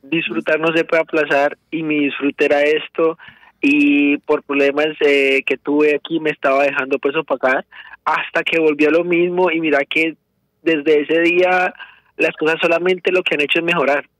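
Earnest storytelling man, fairly high voice. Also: little pitch movement, normal speed, a bit bright, no room sound, poor audio. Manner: earnest, storytelling, repeats words as he goes. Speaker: salsa dance teacher, guest. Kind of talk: radio interview answers. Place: radio studio.

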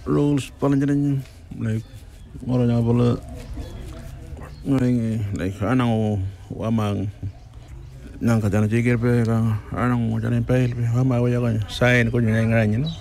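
A man, a town camp resident, answering an interview hesitantly and slowly. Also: mid-range voice, flat delivery, vocal fry, mellow. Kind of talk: interview in local language.

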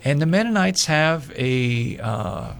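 An older man from Pennsylvania, a local candidate, telling his story slowly in an interview with pauses and fillers. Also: fairly high pitch, expressive, creaky. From Pennsylvania, USA. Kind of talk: interview answers.

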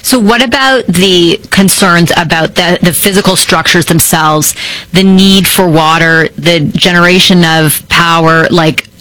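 A woman's voice: lowish voice, a little slow, a bit bright, no echo, rough recording. A woman hosting a casual, conversational radio interview in an informal, chatty way.

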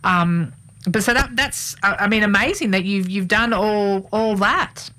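A woman's voice: medium pitch, a little slow, bright, forceful tone. Aussie woman radio host, long wandering questions, keeps restarting. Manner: rambling, self-correcting questions. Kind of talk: radio interview.

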